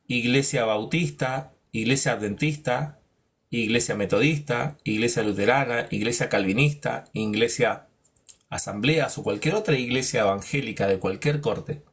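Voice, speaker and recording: Male teacher of scripture, emphatic and rhetorical, even middle-pitched voice. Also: husky. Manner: rhetorical, acts out imagined dialogues; emphatic, drops low on verdicts. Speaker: Bible teacher. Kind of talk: preaching-style Bible lesson.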